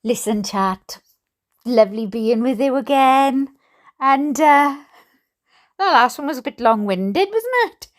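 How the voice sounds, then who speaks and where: A Welsh woman reading aloud casually with chatty, jokey asides to her online audience, in an expressive, self-deprecating way. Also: sits high, takes it slowly. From Wales. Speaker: YouTube host reading letters aloud.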